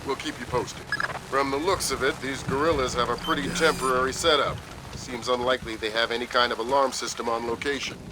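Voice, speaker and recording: Man as authoritative mid-pitched spy commander. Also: steady tone, background noise, natural voice. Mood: businesslike. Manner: brisk, authoritative briefing. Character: businesslike male intelligence commander, composed and dryly authoritative. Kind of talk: video game voice acting.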